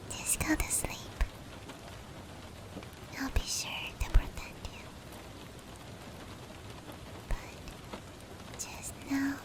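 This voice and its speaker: A woman plays a gentle female demon who comforts and protects in an ASMR roleplay. The voice is high and whispered, with very little rise and fall. The delivery is slow, breathy and soothing, with rain falling behind it. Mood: soothing, sleepy.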